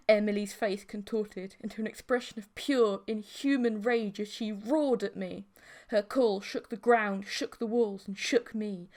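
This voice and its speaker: A woman's voice: mid-range voice, darkish tone. A woman reading a first-person story as an audiobook narrator, with dramatic scripted delivery.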